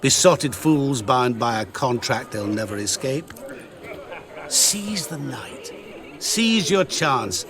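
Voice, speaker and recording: A sly, sinister merchant, lively and sing-song in his phrasing. He sounds smooth and calm, with a quiet threat beneath. A crowd murmurs around him. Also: medium pitch, slow pace. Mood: playful. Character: sly, sinister man in the guise of a humble merchant. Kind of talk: game cutscene voice acting.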